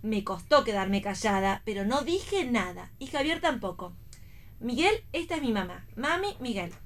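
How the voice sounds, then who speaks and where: An actress playing a defiant, wry young daughter in radio theatre, with an Argentine accent and a pointed manner. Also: female voice, on the high side, big pitch swings, normal speed. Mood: sociable. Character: defiant, wry young pregnant daughter standing her ground.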